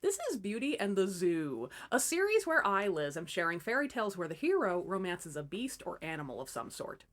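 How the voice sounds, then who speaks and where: A woman's voice: fairly low pitch, big pitch swings, fairly quick, hardly any pauses. A woman hosting and narrating fairy tales as scripted comedy, with wry, irreverent asides. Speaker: host and storyteller.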